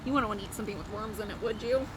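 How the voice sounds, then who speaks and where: A woman's voice: medium pitch, fairly even intonation, racing, background noise. A woman hosting a Bible story time for young children, explaining simply and expressively with questions and asides.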